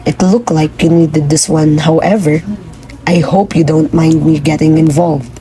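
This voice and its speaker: A woman as a low, mellow, aloof cat. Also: steady tone, measured pace, poor audio. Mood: dry, nonchalant. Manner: dry, understated, polite. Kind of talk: puppet show storytelling.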